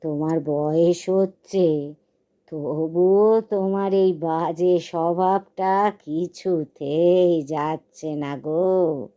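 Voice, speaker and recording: Woman acting a very slow-spoken, scolding village wife. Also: fairly low pitch, steady tone, warm tone. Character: nagging, reproachful village wife of an old man. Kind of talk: Bengali cartoon story dialogue. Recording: dull lo-fi sound, faint music.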